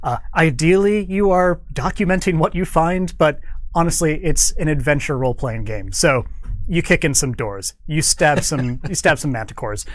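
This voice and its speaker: A man's voice: fairly high pitch, lively intonation, moderate pace. A man speaking as an interview guest in a studio, explaining his work in an informal way. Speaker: guest, game developer.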